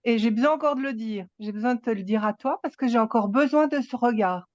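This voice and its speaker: Woman in her sixties, high-pitched, halting and emphatic, dull lo-fi recording. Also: dry recording. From Switzerland. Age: 60s. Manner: halting, emphatic, many restarts. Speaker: satsang participant. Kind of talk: personal sharing at a spiritual gathering.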